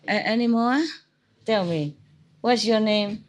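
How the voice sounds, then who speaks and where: A woman's voice: mid-range voice, slow. A woman teacher hosting a gathering, speaking in a scolding, repetitive way and later teasing the guests. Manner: scolding then teasing, repeats words for emphasis. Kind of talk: informal Q&A with an audience.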